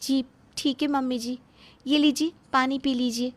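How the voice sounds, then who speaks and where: A woman playing a high-pitched young daughter-in-law. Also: steady tone, a little slow. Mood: dutiful. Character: put-upon, plaintive young daughter-in-law.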